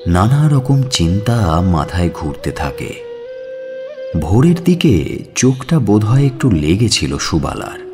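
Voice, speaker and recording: Deep-voiced, expressive male story narrator. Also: unhurried, over music. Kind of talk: dramatic scripted story narration.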